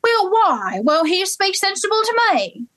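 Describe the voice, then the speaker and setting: A woman's voice: extremely high pitch, very animated, a bit bright, voice pushed up, heavily acted. A woman playing an indignant young flower girl in an amateur play reading. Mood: cheeky. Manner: indignant, quick to protest. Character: young cockney flower girl, defiant and touchy.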